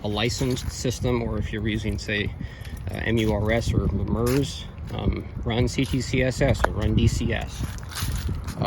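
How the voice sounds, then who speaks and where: A man giving an informal, unscripted technical review, explaining things in a halting way. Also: mid-range voice, heavy background noise. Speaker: radio equipment reviewer.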